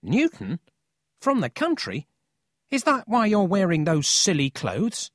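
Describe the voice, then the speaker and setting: A narrator voicing a cocky, excitable but friendly city boy in a children's storybook, brash and eager. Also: medium pitch, a little slow, dry recording, studio quality, voice pushed up. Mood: teasing.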